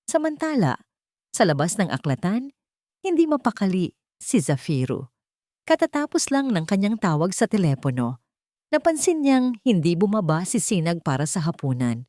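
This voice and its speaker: A woman narrating a scripted romance story in a dramatic, deliberate way with pauses. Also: medium pitch, big pitch swings, mellow, no room sound, studio quality.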